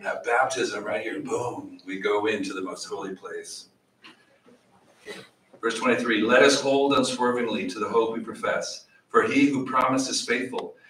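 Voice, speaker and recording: Animated man teaching in an echoey room. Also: on the low side, normal speed, recorded from a distance, crowd noise. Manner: animated, gestures widely, asks the audience questions. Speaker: church teacher. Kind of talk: Bible study teaching. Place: small church meeting room.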